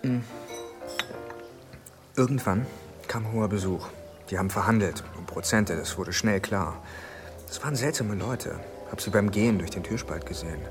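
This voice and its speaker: An actor playing a cynical big-city journalist in a radio drama, wry and blunt in a colloquial way. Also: male voice, on the low side, moderate pace, long pauses, close to an everyday voice. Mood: wry, confiding. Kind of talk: naturalistic German radio drama dialogue. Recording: music bed behind the voice.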